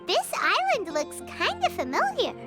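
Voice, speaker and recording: A woman voicing a tiny childlike fairy companion in an anime game, squeaky, cheeky, naive and blunt. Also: huge pitch swings, unhurried, exaggerated voice. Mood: impatient, curious. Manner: squeaky, blunt, refers to herself in third person. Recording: music behind the voice.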